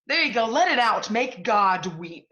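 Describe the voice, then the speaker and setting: An actress plays a domineering female literary agent in a one-act play, with a fairly high voice. She is blunt, profane and impatient. The recording is slightly dull and lo-fi. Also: big pitch swings, moderate pace, bright, forceful tone. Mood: bold, encouraging.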